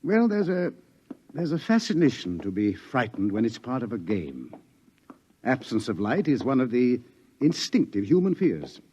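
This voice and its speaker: A grave scientist in a lo-fi 1950s radio play, lecturing in a measured, ominous tone. His mid-pitched voice rises and falls with lively emphasis. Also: slightly rough. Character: grave older scientist warning of doom. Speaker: doctor. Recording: dull 1950s radio broadcast.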